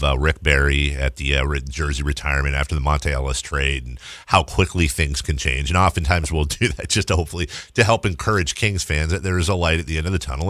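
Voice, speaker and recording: Deep-voiced American male sports radio host. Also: a bit bright, no echo, clean studio sound. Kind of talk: conversational interviewing.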